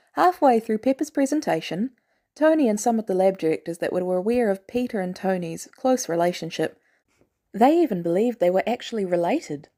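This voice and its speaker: Female audiobook-style narrator for fan fiction, mid-pitched and highly animated in delivery. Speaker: podfic narrator. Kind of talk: expressive scripted story narration.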